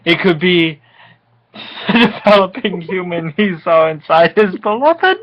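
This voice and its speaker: A person giving a tipsy, mock-dramatic reading of comic fan fiction, halting and often giggly. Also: medium pitch, dull audio. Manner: giggly, frequently breaking into laughter; melodramatic, halting delivery.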